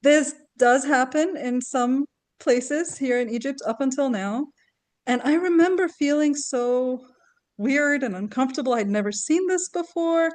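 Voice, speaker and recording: A woman's voice: sits high, a little slow. A woman who grew up in Kuwait, a guest educator in a casual podcast conversation, talkative and laughing often as she tells stories.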